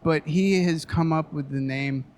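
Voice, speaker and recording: A man's voice: a little high, a little slow, darkish tone. A man in a debate stating his views emphatically. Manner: emphatic, declarative. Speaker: debate participant. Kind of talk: debate argument.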